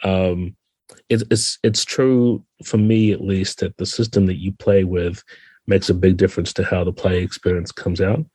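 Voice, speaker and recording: A middle-aged man with a New Zealand accent, talking reflectively and explaining things in a relaxed interview. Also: deep voice, moderate pace, breathy edge, no room sound. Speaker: podcast guest, game designer.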